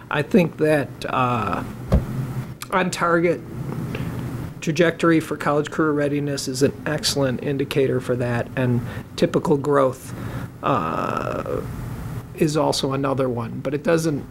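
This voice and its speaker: A man in his forties, a school board member, speaking haltingly with many pauses at a meeting through a desk microphone. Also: on the high side, creaky, slight background noise. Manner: halting, many fillers and restarts. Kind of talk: meeting remarks.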